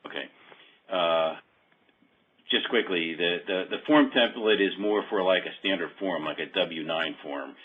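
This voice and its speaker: A very low-voiced man gives an informal software demo over a thin, phone-like conference line. He explains step by step in a halting way, often correcting himself. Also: a little hiss or hum. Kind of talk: informal technical walkthrough on a web meeting. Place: remote web conference call.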